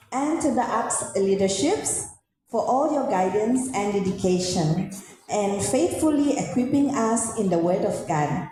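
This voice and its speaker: A woman from Malaysia giving an earnest, formal thanksgiving testimony as a graduate, with a halting delivery. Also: mid-range voice, mellow. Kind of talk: formal public testimony speech. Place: large indoor hall at a ceremony. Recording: echoey hall, long reverb, music behind. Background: music.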